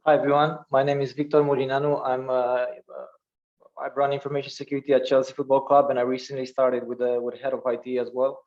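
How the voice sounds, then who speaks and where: A man's voice: medium pitch, level tone, fast talker, a little mellow, lo-fi sound. A man introducing himself on an online panel, hesitant with many fillers and restarts. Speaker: panelist, security lead. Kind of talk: self-introduction on a video call panel.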